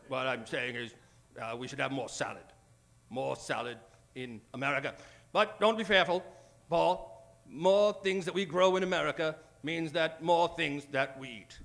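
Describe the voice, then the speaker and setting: A man's voice: medium pitch, unhurried, creaky, pauses often, fairly bright tone. A man playing a bumbling 1940s president, giving a hesitant, rambling parody speech. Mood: pompous, muddled.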